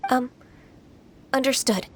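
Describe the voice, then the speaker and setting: A woman playing a gentle, earnest, polite young immortal trainee in a video game. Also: slow, background noise, lightly acted. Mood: polite, hesitant. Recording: background music behind the voice.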